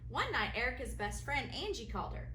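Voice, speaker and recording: A woman teacher reading a children's story aloud with expressive, deliberate storytelling. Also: a little high. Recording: roomy echo, faint background noise.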